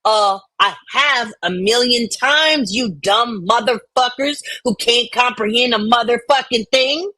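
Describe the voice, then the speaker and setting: A woman bantering casually and reading online comments out loud in a blunt, sweary, folksy way. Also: fairly high pitch, unhurried, few pauses, dry recording. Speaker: co-host reading comments aloud.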